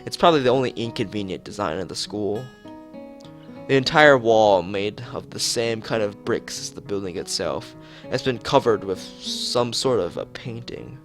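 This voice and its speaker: A man's voice: on the low side, expressive, moderate pace, faint music behind. A man streaming a visual novel, reading the story aloud expressively with occasional asides.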